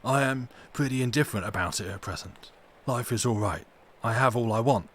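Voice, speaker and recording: A man's voice: medium pitch, a little fast, close to an everyday voice. Man acting a cunning, pompous lunatic with noise behind. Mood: insistent, then brighter. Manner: pompous, grandiose, emphatic. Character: cunning, superior madman in an asylum, by turns servile.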